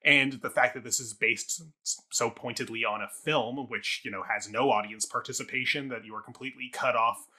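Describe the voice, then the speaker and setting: A man with a very bright, sharp voice at a middle pitch co-hosts a casual arts review. He works through his points aloud in long, analytical sentences. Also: steady tone, fairly quick, hardly any pauses, breathy edge. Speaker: talk show co-host and theatre reviewer.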